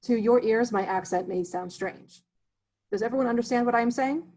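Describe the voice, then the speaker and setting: A man's voice: extremely high pitch, fairly quick, a little mellow. A man reading the part of an erudite immigrant substitute teacher who speaks formally and drops his articles, in an amateur play reading. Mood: earnest.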